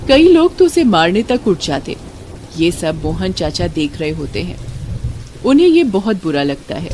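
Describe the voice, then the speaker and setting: A woman's voice: on the low side, very animated, measured pace, a little mellow, slight background noise. A woman narrator telling a scripted children's story.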